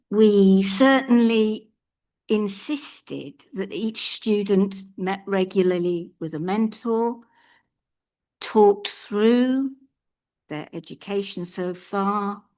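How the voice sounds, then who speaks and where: An older woman addresses a council chamber in a slow, deliberate way. She sounds earnest and measured as she delivers a prepared statement. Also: mid-range voice, mellow. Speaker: public speaker at a council meeting.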